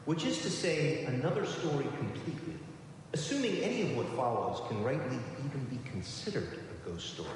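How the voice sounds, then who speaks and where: A man giving a deliberate, literary scripted narration in a live radio performance. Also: lively intonation. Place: live on stage in a large hall. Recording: very echoey hall, faint hiss and rumble.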